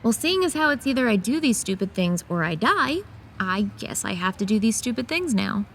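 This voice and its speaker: A woman's voice: medium pitch, very animated, normal speed, a little hiss or hum. A woman playing a sardonic young woman who sees monsters, conversational and exasperated, in a horror audio drama. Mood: defensive. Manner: sarcastic, conversational.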